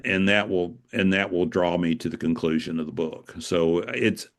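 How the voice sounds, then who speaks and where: A man speaking as an interviewed author, explaining things step by step in an informative, conversational way. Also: deep voice.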